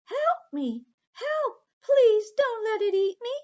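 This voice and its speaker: A woman reading aloud and putting on a tiny mermaid girl with a high, sweet storybook voice, polite and earnest. The pitch leaps around a lot. The recording is dull and lo-fi. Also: very animated, normal speed, full character voice. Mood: panicked.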